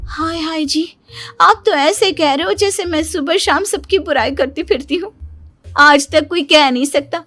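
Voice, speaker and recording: Woman acting a high-pitched, whiny, dramatic young housewife. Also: unhurried, fairly bright tone. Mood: indignant. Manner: dramatic wailing, self-praising complaints. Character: whiny, boastful, self-pitying young Indian daughter-in-law.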